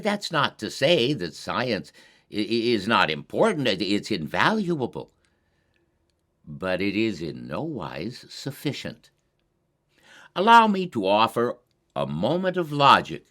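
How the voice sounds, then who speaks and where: A man presenting his own program in a deliberate, formal, bookish way, with emphatic pauses in a measured monologue. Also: on the low side, wildly swooping pitch, fairly bright tone.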